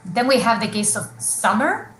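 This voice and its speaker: A woman's voice: medium pitch, sing-song, moderate pace, a bit bright, echoey room, slight background noise. A woman presenting research at an academic conference, explaining examples step by step with frequent fillers and restarts over a video call.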